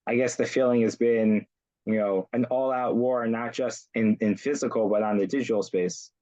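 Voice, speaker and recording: A man hosting an online community interview, speaking with many pauses and fillers. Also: mid-range voice, fairly even intonation, mellow, dull audio. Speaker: rabbi, host. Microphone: video call.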